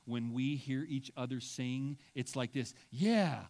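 A man's voice: medium pitch, slow, a little mellow. Middle-aged guy pastor giving a sermon in church, talky, keeps saying right?, trips over his words sometimes. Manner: conversational, self-deprecating, frequent "right?" asides; stammers and restarts when digressing. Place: church sanctuary.

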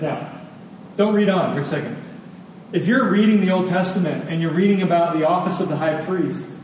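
A man's voice: a little high, darkish tone. Echoey male preacher, earnest and emphatic. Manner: earnest, emphatic, dramatic pauses and repetition. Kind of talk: expository sermon with scripture reading. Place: large reverberant indoor hall. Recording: distant, echoey large hall, faint noise.

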